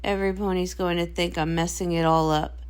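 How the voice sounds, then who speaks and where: A woman voicing a grumpy, anxious young pony princess, a tomboy sister with a flat, sardonic, reluctant way of talking. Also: on the low side, fairly quick, smooth, voice pushed down, lightly acted. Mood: weary, worried.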